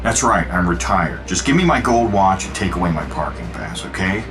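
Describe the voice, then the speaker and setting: Cocky wisecracking genius inventor for a game, low, animated, sardonic delivery. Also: male voice, normal speed, over music. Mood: flippant. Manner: flippant, sardonic. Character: cocky wisecracking billionaire inventor in armored suit. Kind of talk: video game cutscene acting.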